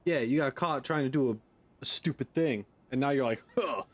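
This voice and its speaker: A man's voice: medium pitch, a little fast. A man giving casual reaction commentary as a game streamer, opinionated, informal and jokey.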